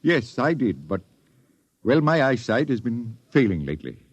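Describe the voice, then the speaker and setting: A husky, guilty expedition doctor. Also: male voice, mid-range voice, sing-song, moderate pace, dry recording. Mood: worried. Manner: hesitant and apologetic. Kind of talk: 1950s radio drama acting. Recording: dry, muffled old radio broadcast.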